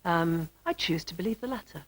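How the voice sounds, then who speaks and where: A woman talking reflectively in an interview, in a measured, pausing manner. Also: on the low side, lively intonation.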